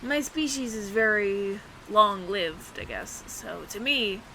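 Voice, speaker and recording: A woman's voice: mid-range voice, animated, slow pace, sharp tone, a little hiss or hum, full character voice, rough recording. A woman voicing a friendly, flustered alien roommate who passes as human, speaking to the listener in a hesitant, stammering, earnest ramble in an audio roleplay. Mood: musing.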